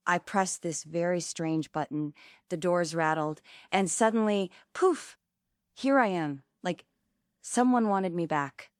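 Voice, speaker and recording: A woman's voice: fairly low pitch, slow, no room sound, studio quality. A woman playing a devoted, hopeful angel in a stage table read, sincere and determined. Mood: wonder.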